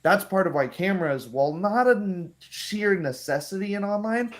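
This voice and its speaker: A man's voice: high-pitched, lively intonation, normal speed. A man speaking casually as the game master and host of a roleplaying stream, chatting about the game.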